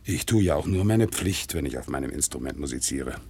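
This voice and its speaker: An actor playing a proud, dutiful one-legged war veteran who works as an organ grinder, speaking in a solemn, deferential way in a radio play. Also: male voice, very deep voice, expressive, fairly quick, creaky, a little mellow, breathy edge, full character voice. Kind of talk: 1960s radio play acting. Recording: faint music behind, older radio play recording.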